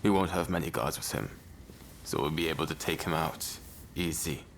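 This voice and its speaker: A man's voice: extremely low pitch, steady tone, moderate pace, gravelly, slight background noise. A man playing a stern, ruthless anti-foreigner samurai rebel in a video game cutscene. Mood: guarded, businesslike.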